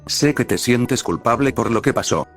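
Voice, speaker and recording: A man's voice: mid-range voice, animated, normal speed, soft background music, natural voice. A man voicing a fierce, determined young girl in a storybook reading, blunt and angry.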